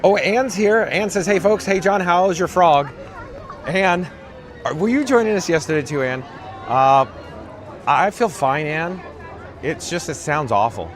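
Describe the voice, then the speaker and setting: Raspy man livestreaming from a crowd. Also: fairly high pitch, unhurried, pauses often. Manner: dry, self-mocking, monotone. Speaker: livestream host reading chat. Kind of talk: casual live-stream chatter. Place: outdoors on a parade route. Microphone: camera rig held by the speaker. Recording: outdoor crowd with music behind.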